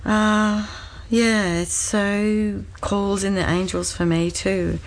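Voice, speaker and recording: A woman sharing slowly and hesitantly in a women's circle. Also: medium pitch, steady tone, low-quality recording. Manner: slow, hesitant. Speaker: group member.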